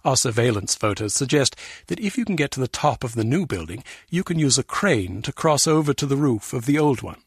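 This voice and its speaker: A man's voice: mid-range voice, big pitch swings, brisk, slightly rough, no room sound. A man playing a precise, dry, disdainful intelligence officer in a video game. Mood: dry, skeptical.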